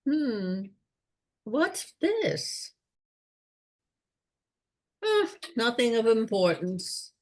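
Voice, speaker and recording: A woman's voice: a little high, big pitch swings, very slow, pauses often, full character voice. A woman playing an absent-minded, genial old lord in a radio play, muttering vaguely and repeating words. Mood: absent-minded musing.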